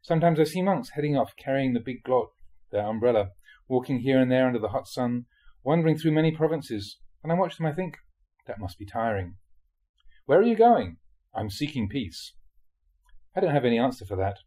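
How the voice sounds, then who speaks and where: A male reader delivers a spiritual teaching in an explanatory, measured manner. His mid-pitched voice has a husky grain and moves expressively up and down as he sets out questions and explanations. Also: expressive, dark tone. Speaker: monk reading dharma talks aloud. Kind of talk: reading a spiritual talk aloud.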